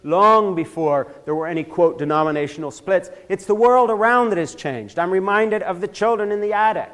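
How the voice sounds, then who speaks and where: Older man, from Switzerland, fairly high voice, impassioned church talk, heated emphasis, frequent restarts. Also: moderate pace, fairly bright tone. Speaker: speaker giving a church talk. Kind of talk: impassioned lecture.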